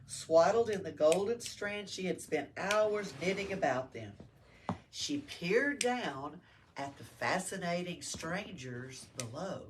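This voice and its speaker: Casting: woman, lively lowish voice, teacherly children's story reader, faint room noise. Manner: teacherly, prompting, expressive. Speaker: library story-time reader. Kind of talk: read-aloud to children with questions.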